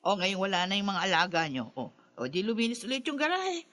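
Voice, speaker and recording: A woman's voice: mid-range voice, sing-song, fairly quick, sharp tone. An actress playing a defensive, callous middle-aged mother in a Filipino radio drama, stammering and indignant. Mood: irritated, defensive. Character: defensive, callous mother who dumped the cats.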